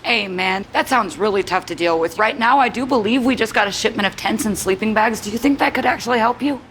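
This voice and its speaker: A woman's voice: medium pitch, quick pace, fairly bright tone. A woman speaking informally and soothingly as an outreach worker in a conversational role-play. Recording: noticeable ambient background noise.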